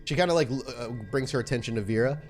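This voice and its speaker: A man narrating an improvised tabletop game as game master, descriptive and halting as he goes. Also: medium pitch, faint music behind.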